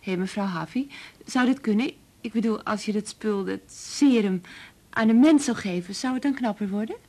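An actress playing an eager, curious and slightly cheeky young assistant in a Dutch radio play, speaking in a keen, inquisitive way. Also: female voice, mid-range voice, normal speed, darkish tone, natural voice. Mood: curious, amused.

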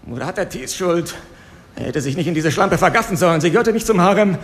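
A man's voice: high-pitched, lively intonation, fairly quick. An actor playing a cynical, cowardly henchman in a radio drama, talking in a coarse, self-excusing way. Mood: resentful. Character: cynical, cowardly male henchman confessing to police. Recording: clear ambient noise behind.

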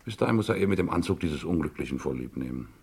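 Radio drama: pompous, scrupulous scoundrel, husky bass, lecturing in ornate phrases. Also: male voice, lively intonation, rapid-fire, darkish tone, full character voice. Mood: solemn, smooth-talking. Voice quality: gravelly, husky. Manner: grandiloquent, lecturing. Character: pompous, philosophizing rogue, self-styled aesthete with scruples. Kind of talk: German radio crime play acting.

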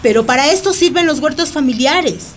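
An actress playing an earthy, outspoken neighbourhood matriarch in a radio drama, folksy, emphatic and decisive. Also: female voice, high voice, expressive, a little fast, slight background noise. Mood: rallying, decisive. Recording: music playing behind.

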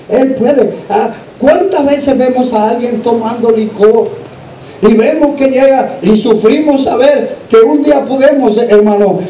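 A man's voice: high voice, warm tone, slight background noise. A man preaching an evangelical sermon to a congregation, exhorting them with rhetorical questions and repeated phrases. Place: large echoing room, church service. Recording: roomy echo, distant microphone.

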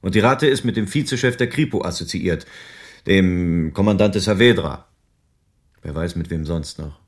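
A world-weary private detective in a radio crime drama, played by a man. He explains things patiently and soberly in a lowish voice. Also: moderate pace, natural voice. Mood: sober concern.

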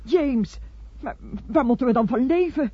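An actress playing a worried, stubbornly rooted farm wife in a radio play, pleading and stammering with hesitation. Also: female voice, high voice, a little slow, slightly rough, dry recording. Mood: distressed, stubborn. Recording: dull, muffled old radio recording.

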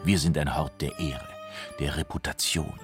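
An actor playing a sly, hypocritical seducer in a classical verse radio drama, declaiming unctuously. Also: male voice, very deep voice, fairly even intonation, normal speed, music in the background. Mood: unctuous, seductive. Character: sly hypocritical male seducer, smoothly whispering of discreet love.

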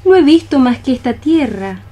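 An actress playing a lovesick young maiden cockroach, lyrical and declamatory in a poetic radio play. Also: female voice, high-pitched, very animated, moderate pace, warm tone. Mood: melancholy. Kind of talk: poetic radio theatre acting.

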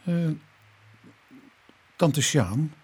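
An actor playing a courteous, methodical police inspector in a Dutch radio play, with a probing, matter-of-fact manner. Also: male voice, a little high, lively intonation, very slow, darkish tone, breathy edge, heavily acted. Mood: brisk instructing.

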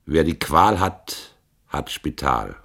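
Deep, very slow, declamatory mock-solemn announcer. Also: male voice, very animated, vocal fry, full character voice. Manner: drawn-out, declamatory delivery. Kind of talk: experimental radio play acting.

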